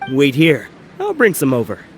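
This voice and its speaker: A man's voice: medium pitch, huge pitch swings, normal speed. A man voicing a generous, good-humored baker in a video game. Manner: warm-hearted, chuckling, generous. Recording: studio voice over game music.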